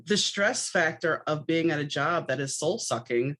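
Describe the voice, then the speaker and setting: A woman's voice: low-pitched, normal speed, fairly bright tone. A woman podcast host talking in a conversational, chatty, anecdotal way.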